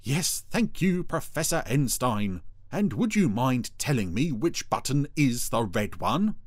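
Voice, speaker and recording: A man's voice: medium pitch, sing-song, a little slow, no room sound, pitched above the performer's own voice. A man voicing a pompous, indignant talking dog in a children's storybook reading, with grand, haughty phrasing. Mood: exasperated.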